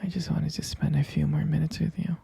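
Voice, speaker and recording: A man playing a devoted, smitten boyfriend in an intimate ASMR roleplay, speaking in a breathy, husky whisper, hesitant and tenderly repeating his phrases. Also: fairly high pitch, little pitch movement, a little fast, warm tone, full character voice. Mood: tender, wistful.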